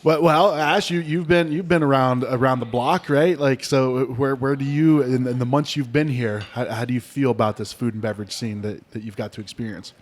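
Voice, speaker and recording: A man's voice: mid-range voice, fairly even intonation, brisk, hardly any pauses, slight background noise. A man hosting a podcast, asking casual friendly questions with frequent restarts. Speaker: podcast host.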